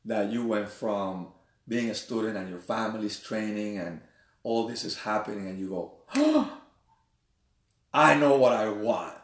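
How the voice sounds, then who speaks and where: A man's voice: lowish voice, lively intonation, unhurried, recorded from a distance. A man hosting a chat show, talking with lots of energy and encouragement and often asking "right?". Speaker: podcast host. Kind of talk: enthusiastic interview chat. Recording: roomy sound, voice off-mic.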